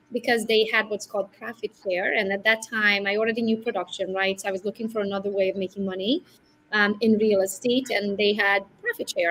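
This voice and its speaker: A woman's voice: mid-range voice, a bit bright, a little hiss or hum, rough recording. A middle-aged woman from Romania with a Hungarian-Romanian accent, a guest on a video call interview, talking with confidence and often ending her points with "right?". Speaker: guest real estate broker. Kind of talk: casual interview talk.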